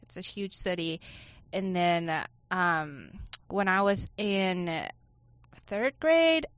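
A woman's voice: fairly low pitch, animated, takes it slowly, clean tone, no room sound, clean studio sound. A young woman from China, a student, answering interview questions in a chatty way full of "like". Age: young adult. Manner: chatty, many "like" fillers, soft-spoken. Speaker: student guest.

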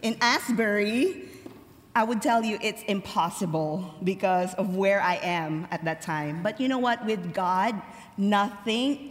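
A young woman from the Philippines, giving a personal testimony as a chapel speaker, chatty and slowing down for emphasis. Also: medium pitch. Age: young adult. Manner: conversational, laughs easily, slows for emphasis. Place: large chapel. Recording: long hall reverb.

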